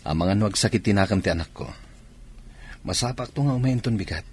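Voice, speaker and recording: Man acting deep, husky, evasive cheating husband. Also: lively intonation, fast talker, a little mellow. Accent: Ilocano Filipino. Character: evasive, defensive married man with mistress. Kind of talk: Filipino radio comedy-drama acting.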